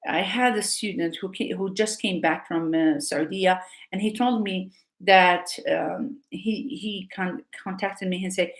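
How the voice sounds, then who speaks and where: A woman's voice: on the low side, fairly even intonation, measured pace, roomy sound. A woman teacher from Africa, talking casually and hesitantly, with many fillers and restarts. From North Africa. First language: Arabic. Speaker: Arabic teacher. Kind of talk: casual live talk.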